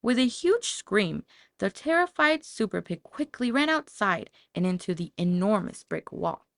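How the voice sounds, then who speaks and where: A woman narrating a children's story from a script in a dramatic, expressive storytelling manner. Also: mid-range voice, measured pace, creaky, no room sound, studio quality. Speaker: audiobook narrator.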